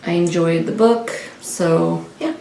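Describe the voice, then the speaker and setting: Gravelly-edged woman chatting casually in a roomy space. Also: on the low side, takes it slowly, warm tone. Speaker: co-host of a book discussion vlog. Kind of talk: casual unscripted book chat. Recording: roomy, distant mic with faint noise.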